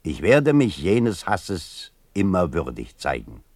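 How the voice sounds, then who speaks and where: A resolute, idealistic writer in a radio play. The voice is low and unhurried, with solemn, measured declamation. The sound is dry and close. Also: male voice, wildly swooping pitch, darkish tone, no room sound, full character voice. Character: resolute idealistic male writer proclaiming his life's mission.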